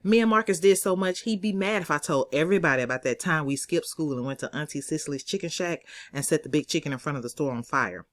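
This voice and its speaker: A woman reading her own novel aloud as expressive first-person narration, in a conversational, sassy storytelling manner. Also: low-pitched, quick pace, hardly any pauses, dry recording, clean studio sound.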